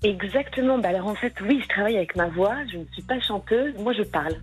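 A Parisian woman speaks as a radio guest, easygoing and thoughtful, with light laughs between her sentences. Her voice sits at an average pitch. Soft music plays faintly behind her. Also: fast talker, breathy edge. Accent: Parisian French. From France. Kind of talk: radio interview.

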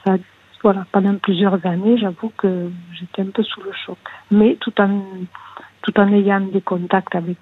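A woman's voice: medium pitch, little pitch movement, moderate pace, slight background noise. A woman calling in to a radio show, speaking hesitantly about her personal life and often searching for words. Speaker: caller. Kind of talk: personal confession on call-in radio. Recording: husky voice over a phone line.

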